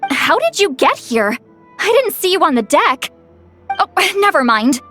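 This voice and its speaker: A woman's voice: extremely high pitch, big pitch swings, unhurried, bright, forceful tone, faint music behind, full character voice. A woman voicing an anxious, helpful young woman with a flustered, hesitant manner in a video game. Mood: worried, urgent.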